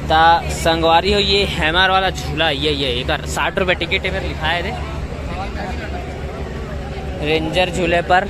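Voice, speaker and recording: A young man with a Chhattisgarhi accent vlogging excitedly on his phone at a busy outdoor night fair, calling out loudly to his friends. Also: on the high side, moderate pace. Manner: excitable, loud, calls out to friends. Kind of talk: excited casual vlog at a fair. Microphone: handheld phone camera. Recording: loud crowd and fair noise.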